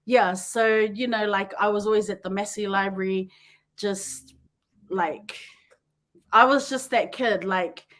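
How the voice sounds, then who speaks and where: A woman with a New Zealand accent hosting a podcast, chatty and quick to laugh, often wandering off on tangents. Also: medium pitch, fairly bright tone. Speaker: podcast host. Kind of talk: casual chat.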